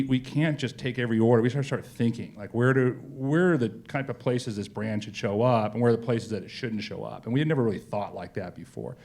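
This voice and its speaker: A man's voice: mid-range voice, gravelly. A man speaking as a company executive in a casual on-stage interview, telling colloquial stories with frequent asides.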